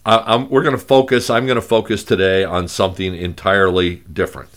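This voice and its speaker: A man with a gravelly voice, hosting a talk show and speaking in a halting way with many fillers and restarts. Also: sits low, steady tone, fairly bright tone. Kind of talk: conversational radio talk.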